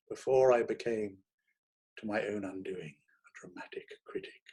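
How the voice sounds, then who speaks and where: A man narrating a literary short story in a theatrical, expressive manner. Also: mid-range voice, breathy edge, low-quality recording. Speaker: reader of short stories.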